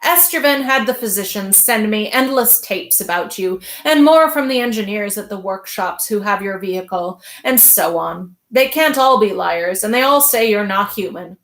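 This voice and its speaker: A woman reading aloud and voicing an unhinged, arrogant male king who rants in a shrill voice and snarls out insults. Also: animated, moderate pace, few pauses, fairly bright tone, reverberant. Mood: bitter. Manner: ranting, snarling, spitting out insults.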